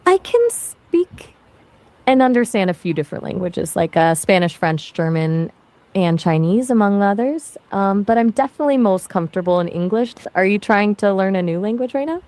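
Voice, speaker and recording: A woman's voice: mid-range voice, lively intonation, normal speed, a little mellow, no room sound. An AI voice assistant with a woman's American-accented voice, chatting in an upbeat, encouraging way. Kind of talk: conversational assistant chat.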